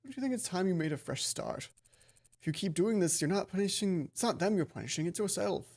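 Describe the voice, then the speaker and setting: A woman voicing a gentle, concerned father, softly coaxing his child. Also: on the low side, animated, fairly quick. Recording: background game music.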